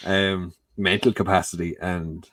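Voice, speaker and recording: A man's voice: deep voice, level tone, unhurried, fairly bright tone, slight background noise. A young Irish man co-hosting a podcast, chatting casually and laughing through his sentences. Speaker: podcast co-host. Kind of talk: casual chat.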